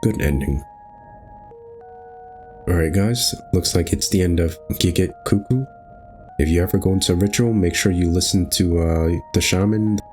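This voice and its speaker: A man's voice: sits low, normal speed, long pauses, darkish tone, soft background music. A man hosting a gaming video, reading visual novel narration aloud and adding casual commentary.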